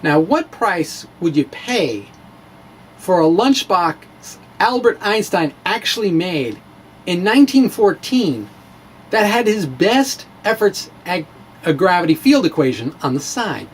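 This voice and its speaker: A man with a fairly high voice reads in a wry, theatrical way, dropping into casual asides. His delivery is slow and swings widely in pitch. There is some faint noise behind him. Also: very animated. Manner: wry, playful, theatrical emphasis. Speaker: physics blogger reading his own blog. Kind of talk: dramatic reading with casual asides.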